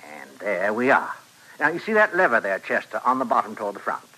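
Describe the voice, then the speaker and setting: Sharp, animated old-radio professor huckster. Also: male voice, fairly low pitch, a little fast, breathy edge, heavily acted, poor audio. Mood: coaxing, showy. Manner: patient, showy explaining, like a salesman. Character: smooth-talking male professor hawking a wondrous coin machine. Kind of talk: old-time radio western drama acting. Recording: vintage radio broadcast recording.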